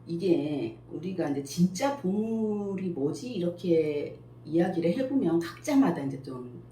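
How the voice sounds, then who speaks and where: A middle-aged woman, a school principal and picture book author, answering interview questions calmly and thoughtfully, with many pauses and fillers. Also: on the low side. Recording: echoey room with faint noise.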